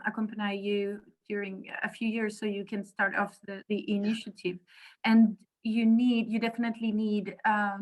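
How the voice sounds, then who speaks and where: Mid-pitched woman, measured explainer. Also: poor audio. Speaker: panel speaker, foundation director. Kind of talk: considered explanatory answer in a discussion.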